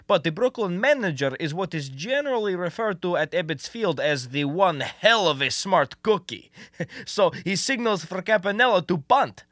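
An actor playing a wry, talkative Russian comrade who loves baseball and explains things expansively in a radio comedy. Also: male voice, sits high, big pitch swings, normal speed, fairly bright tone, no echo, studio quality. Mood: enthusiastic, lecturing.

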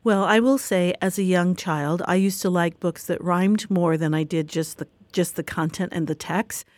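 A woman's voice: lowish voice, fairly even intonation, normal speed, dry recording. Upbeat woman interviewer, dry sound. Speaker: interview host.

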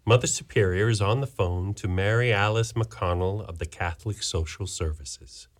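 A man's voice: fairly low pitch, normal speed, faint music behind. A man narrating stage directions in a plain, matter-of-fact way. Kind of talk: scripted narration.

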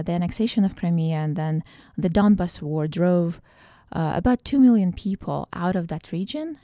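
A woman from Ukraine, a political science professor, answering radio interview questions thoughtfully with frequent pauses and fillers. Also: fairly low pitch, warm tone, dry recording, clean studio sound. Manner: thoughtful, with many hesitations and fillers.

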